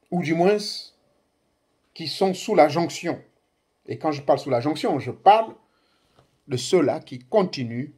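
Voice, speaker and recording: Husky man making an impassioned address. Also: fairly high pitch, darkish tone. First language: Ngomienne. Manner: emphatic, declamatory, rising bursts on key words. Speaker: commentator addressing African youth. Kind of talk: impassioned political address to camera.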